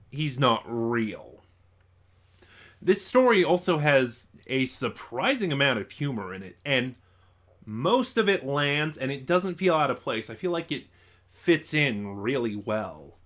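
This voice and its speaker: A man's voice: mid-range voice, fairly bright tone. A man giving a casual, unscripted review as an online reviewer, rambling and thinking aloud in a stop-and-start way.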